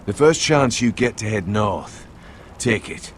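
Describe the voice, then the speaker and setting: An actor playing a grave, devoted older uncle in a medieval fantasy game, speaking in a solemn, formal, measured way. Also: male voice, lowish voice, animated, a little hiss or hum. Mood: grave, urging. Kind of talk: dramatic fantasy game acting.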